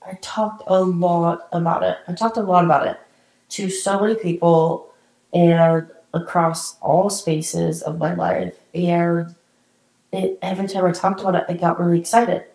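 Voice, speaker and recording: Woman host, candid and encouraging, slightly congested, low-ish voice, roomy echo. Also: steady tone, a little slow, darkish tone. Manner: candid, encouraging, casual swearing. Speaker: podcast host. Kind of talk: solo reflective podcast talk.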